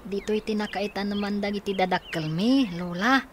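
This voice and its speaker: A woman's voice: medium pitch, moderate pace, slight background noise, close to an everyday voice. A woman playing a responsible young boy, a protective older brother, in a radio drama, speaking earnestly. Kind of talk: Ilocano radio drama acting.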